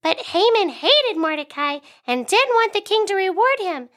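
A woman's voice: very high-pitched, sing-song, moderate pace, fairly bright tone, exaggerated voice. A woman voicing a cheerful young male monkey who tells a Bible story on a school radio show. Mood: storytelling. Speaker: segment host.

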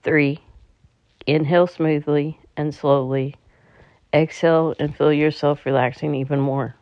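A meditation guide speaking in a calm, slightly halting way, leading a slow guided relaxation. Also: mid-range voice, no room sound. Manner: calm, halting delivery with stumbles. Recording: dull, muffled lo-fi recording.

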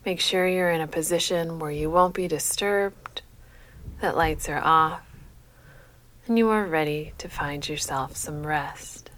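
A woman leading a guided sleep meditation as a yoga nidra guide, speaking in a measured, soothing, unhurried manner. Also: low-pitched. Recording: faint steady background noise.

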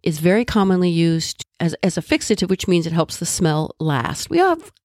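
A woman's voice: lowish voice, big pitch swings, normal speed, darkish tone, no room sound, studio quality. Congested nasal-sounding woman host. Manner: friendly and explanatory, with asides. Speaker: podcast host. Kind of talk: solo educational podcast talk.

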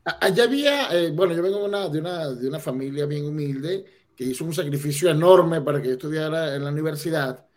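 A man with a Venezuelan accent speaks as an interview guest, telling his story with plenty of restarts and fillers. His voice sits slightly high for a man. He sounds engaged and earnest. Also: fast talker. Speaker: guest, entrepreneur. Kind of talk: casual interview.

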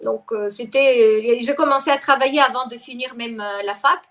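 Middle-aged woman, high voice, chatty guest, lots of restarts and euhs. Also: a little fast, a bit bright. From Ukraine. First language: Russian. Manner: talkative, many restarts and fillers. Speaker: guest expert. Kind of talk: interview conversation.

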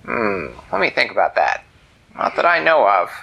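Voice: mid-range voice, sing-song, normal speed, gravelly, slightly rough, highs cut off, full character voice. A storyteller voicing an ancient, grumpy male cat who talks in curt, whispery grumbles. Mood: grumbling.

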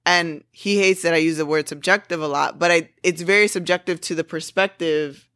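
Forward, bright-toned woman podcast co-host. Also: on the low side, fairly even intonation, normal speed, dry recording, studio quality. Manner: forward, intense, self-described aggressive tone. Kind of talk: casual podcast conversation.

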